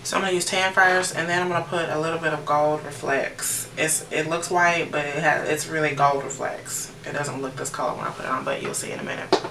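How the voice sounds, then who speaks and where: An American woman in her late thirties talks casually through a makeup routine. Her voice is low and gravelly at the edges. It is picked up at a distance in an echoey room. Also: steady tone, a little fast, sharp tone. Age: late 30s. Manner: chatty, informal, addressing viewers directly. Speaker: beauty vlogger. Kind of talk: casual chatty makeup tutorial. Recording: roomy, distant mic with noticeable background noise.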